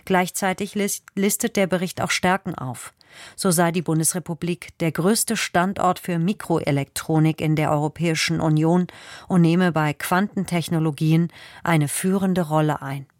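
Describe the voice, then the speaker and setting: A woman reads a radio news bulletin. Her voice sits a little low, with a formal, measured delivery. The sound is close and dry, with very little room. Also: steady tone, no echo. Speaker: news reader.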